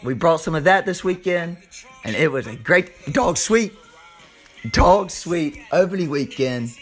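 A man with a Southern US accent and a slightly hoarse voice, hosting an informal sports podcast like a hyped showman, stretching words and repeating phrases. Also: a little high, animated, measured pace, fairly bright tone, soft background music. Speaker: podcast host.

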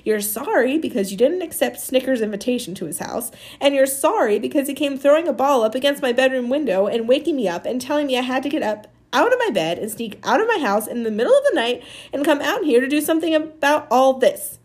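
Woman playing a bossy, furious young girl, pitched high. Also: very animated, moderate pace, few pauses, slightly rough, full character voice. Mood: indignant, scolding. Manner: snappy, commanding, grumbling.

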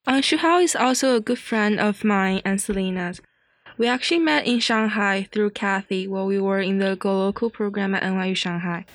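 A woman's voice: mid-range voice, moderate pace, dry recording. Woman podcast host, dry studio sound. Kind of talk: studio interview hosting.

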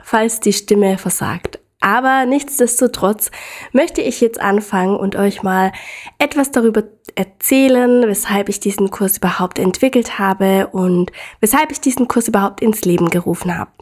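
A young woman hosting her own podcast, talking casually and enthusiastically straight to the listener, her voice slightly hoarse from a cold. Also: medium pitch, moderate pace. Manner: upbeat, enthusiastic, addresses listener directly. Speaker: podcast host. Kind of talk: casual solo podcast talk.

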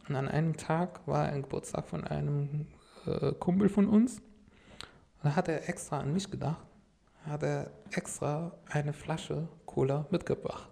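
A man's voice: fairly high pitch, vocal fry, darkish tone. A man from Syria whose first language is Arabic, telling his story as a podcast guest in a rambling way, full of fillers and restarts. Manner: rambling, many fillers and restarts, "sag ich mal" habit. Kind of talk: casual conversational storytelling.

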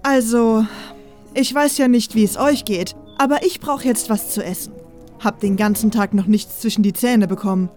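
A woman playing a brisk, blunt, unbothered camp woman in a fantasy audiobook drama. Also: a little high, steady tone, darkish tone, faint music behind, lightly acted.

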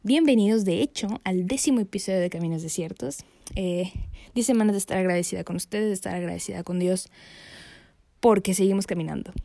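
A young woman chats casually as a podcast host. Her voice is mellow and dark-toned, and her talk is full of fillers and easy laughter. She keeps it friendly and loose. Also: medium pitch, fairly quick, gravelly. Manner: chatty, laughs often, many fillers. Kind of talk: casual faith podcast chat.

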